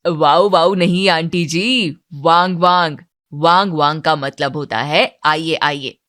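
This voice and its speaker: A woman's voice: sits low, slow pace, fairly bright tone, no echo, clean studio sound. A polite young man from South India acted by a woman narrator in a cartoon story. He explains things patiently and helpfully. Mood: correcting. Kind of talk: Hindi moral-story cartoon character.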